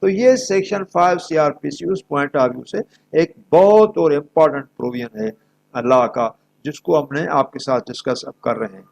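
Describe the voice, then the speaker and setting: A man's voice: fairly high pitch, moderate pace, gravelly, a little mellow, low-quality recording. A man with a Pakistani accent lecturing on legal provisions in an emphatic, didactic manner. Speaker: law lecturer.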